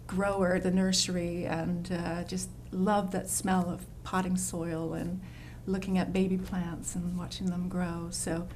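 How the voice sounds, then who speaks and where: A woman's voice: lowish voice, little pitch movement, unhurried, a little hiss or hum. A woman from the Netherlands, a landscape designer, talking in a casual interview with lots of fillers and restarts. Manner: warm, enthusiastic, many fillers and restarts.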